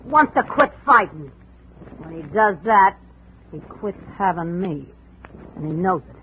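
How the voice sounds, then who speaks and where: An actress playing a tough, contemptuous, hard-drinking wife of a boxer in a 1950s radio drama, with slangy talk. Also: female voice, lowish voice, lively intonation, a little slow. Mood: scornful, suspicious. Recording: muffled noisy old radio recording.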